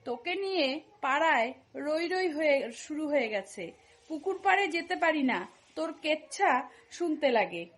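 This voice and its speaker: Woman voicing a high, scolding cartoon mother. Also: big pitch swings, moderate pace. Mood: angry scolding. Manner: accusing, challenging. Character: suspicious scolding village mother of a wayward daughter. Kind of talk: cartoon comedy dialogue.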